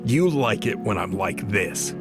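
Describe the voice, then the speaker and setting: A man acts out a bitter, foul-mouthed fallen archangel in an audio drama. He speaks at a slow pace, cynical and quick to temper, over clearly audible music. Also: fairly low pitch, animated. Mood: gruff. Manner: cynical, combative, cursing. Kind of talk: dramatized audio fiction acting. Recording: music behind the voice.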